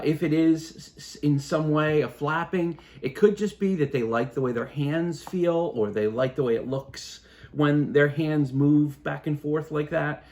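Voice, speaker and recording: A man in his fifties, a father explaining things in a calm, casual chat at home, pausing and restarting as he thinks. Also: fairly high pitch. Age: 50s. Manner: thoughtful, halting, many restarts and fillers. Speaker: parent.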